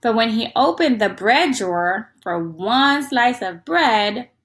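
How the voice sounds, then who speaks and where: A woman's voice: a little high, animated, takes it slowly, fairly bright tone. A woman reading a picture book aloud to young children in an interactive, teacherly way, often putting questions to the listener.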